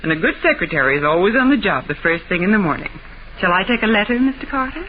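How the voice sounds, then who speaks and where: An American woman playing a pert, loyal detective's secretary in a 1940s radio drama, gently teasing in manner. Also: medium pitch, big pitch swings, fast, close to an everyday voice. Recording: tinny, noisy old radio recording.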